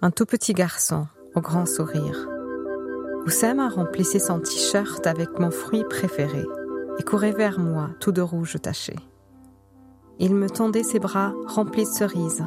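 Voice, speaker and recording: Woman narrating softly over music. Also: lowish voice, a little slow. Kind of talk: scripted documentary narration.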